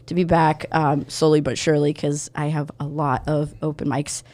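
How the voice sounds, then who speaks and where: Chatty woman with a lowish voice, from Ukraine. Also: little pitch movement, normal speed, darkish tone. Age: 30s. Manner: chatty, laughs often, many fillers and restarts. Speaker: podcast guest, comedian. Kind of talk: casual storytelling chat. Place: podcast studio.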